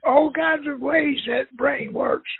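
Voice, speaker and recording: A man's voice: extremely high pitch, fairly even intonation, slow pace. A man in his sixties, talking casually and at length on a phone call, rambling and chuckling. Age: 60s. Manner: rambling, chuckling, emphatic complaints. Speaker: insurance customer on the phone. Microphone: telephone line. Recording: tinny phone line.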